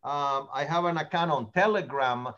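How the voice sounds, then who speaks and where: A man's voice: on the high side, normal speed, fairly bright tone, highs cut off. An older man, not a native English speaker, running a real estate training meeting in an opinionated, rambling way, repeating words as he goes. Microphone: meeting recording.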